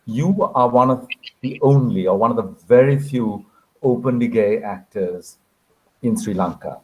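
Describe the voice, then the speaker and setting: A man's voice: medium pitch, measured pace, a little mellow, rough recording. A man moderating a literary conversation, courteous, often repeating words as he forms his questions. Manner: courteous, hesitant repetitions. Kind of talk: conversational interviewing.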